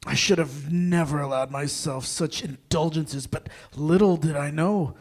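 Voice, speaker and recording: A man's voice: a little high, a little slow. A man playing a wounded, self-pitying bowler in a live comedy table read, full of melodramatic regret. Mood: mournful. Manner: melodramatic, mournful.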